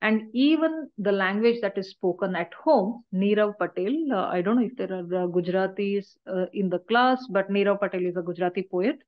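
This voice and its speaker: A woman's voice: mid-range voice, fairly quick, few pauses, dull audio. A middle-aged woman giving a university lecture over a video call, explaining points and often checking in with "right?". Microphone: laptop webcam on a video call.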